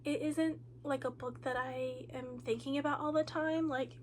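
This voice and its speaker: A woman's voice: sits high, steady tone, normal speed, slight background noise. A woman with a nasal, congested voice from a cold, sounding tired and drained, chatting casually in a reading update vlog with lots of ums and likes. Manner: rambling with many ums and likes. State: tired and low on energy. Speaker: booktuber.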